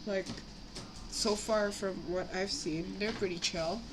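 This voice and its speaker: A young woman with a slightly raspy voice from a cough, rambling casually as she chats on a live stream while building models. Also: medium pitch, fairly even intonation, slow, over music, rough recording. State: sick with a cough, itchy throat. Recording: clear background noise, home livestream.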